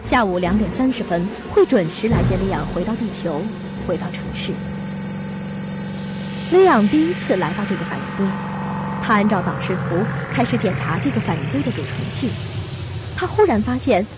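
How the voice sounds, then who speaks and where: A woman's voice: mid-range voice, big pitch swings, moderate pace. A woman reading scripted narration for a suspense radio drama. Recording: dull lo-fi audio with music behind.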